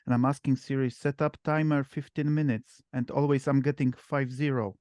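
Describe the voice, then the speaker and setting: A man's voice: medium pitch, steady tone, darkish tone, no echo, studio quality. Polish man hosting a casual group call. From Poland. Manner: halting, many fillers and restarts. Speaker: meetup host. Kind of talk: casual online group chat.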